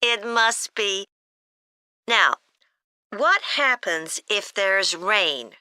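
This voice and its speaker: A woman's voice: medium pitch, lively intonation, very slow, pushed and bright, clean tone, dry recording, clean studio sound, exaggerated voice. A woman playing a polite mother making an enquiry call in an acted listening-test dialogue. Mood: politely curious. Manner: polite, inquiring. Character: friendly mother phoning to ask about a kids' camp. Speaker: caller.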